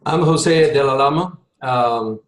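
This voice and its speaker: A man's voice: mid-range voice, slow. A man from Peru, talking earnestly in a video call meeting. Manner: earnest, repeats words when emphasizing. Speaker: volunteer consultant. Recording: echoey room.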